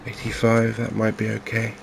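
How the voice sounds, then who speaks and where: Soft spoken gamer guy, deep gravelly voice, slow musing asmr commentary, noticeable noise behind him. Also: completely flat delivery. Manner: unhurried, hesitant, musing to himself. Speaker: gaming video host.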